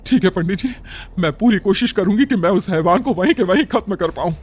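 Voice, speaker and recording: A man's voice: high voice, animated, fairly quick, husky, pitched above the performer's own voice. A man voicing a frightened but determined village aunt with an urgent, pleading manner in a narrated horror story. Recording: background music under the voice.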